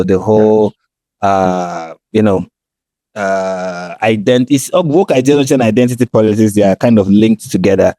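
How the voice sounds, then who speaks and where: A Nigerian man speaks in a dark, relaxed voice with very little room sound. He explains himself conversationally as a podcast co-host, often checking in with the listener as he goes. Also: lowish voice, no echo.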